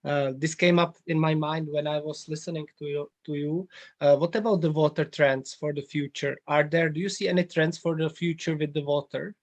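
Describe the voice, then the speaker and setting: A man's voice: a little high, steady tone, fairly quick. A man hosting a podcast interview, asking questions in a hesitant way with many fillers. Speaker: podcast host.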